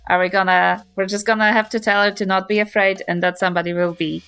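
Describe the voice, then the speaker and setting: A woman chatting casually as a player in a tabletop role-playing game, giggly and thinking out loud as she goes. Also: medium pitch, little pitch movement, clean tone, faint music behind. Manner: halting, thinking aloud, giggly. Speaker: player in a tabletop RPG podcast.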